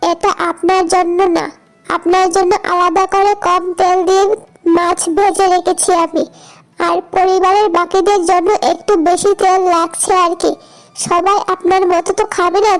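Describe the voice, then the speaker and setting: Cartoon young wife, played by a woman: extremely high, narrow-ranged voice, dutiful, hurt, pleading with her mother-in-law. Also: level tone, moderate pace. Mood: earnest, plaintive. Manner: earnest, self-justifying, plaintive. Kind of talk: cartoon voice acting.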